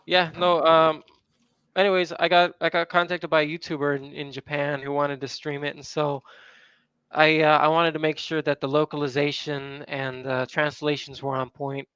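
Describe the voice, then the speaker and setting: A fairly high, smooth male voice in flat, even talk, pausing and restarting often as he speaks. The sound is dry and a little dull. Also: no echo. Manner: hesitant, many fillers and restarts. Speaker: guest, game developer. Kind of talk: casual chat.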